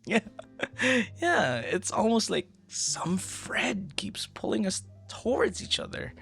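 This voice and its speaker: A man performs a flustered young romantic in an ASMR roleplay, tender and halting. His speech is unhurried and expressive, with a creaky edge to the murmured delivery. He sounds shy yet openly smitten. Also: sits high, soft background music, pitched above the performer's own voice, heavily acted. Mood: smitten, hushed. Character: smitten, flustered young male stranger turned romantic suitor. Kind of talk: intimate ASMR boyfriend roleplay.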